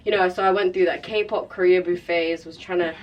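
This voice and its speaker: A woman's voice: on the low side, fairly even intonation, a little fast, lo-fi sound. Young woman, chatty and slangy. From Philippines. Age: young adult. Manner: slang-heavy, filler-laden, laughs often. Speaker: podcast guest. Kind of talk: casual podcast chat. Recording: distant mic, faint background noise.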